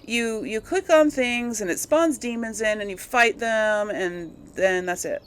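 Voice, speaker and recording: A woman's voice: a little high, measured pace, a little hiss or hum. A woman giving casual gameplay commentary, rambling and thinking aloud as she goes. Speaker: let's play host.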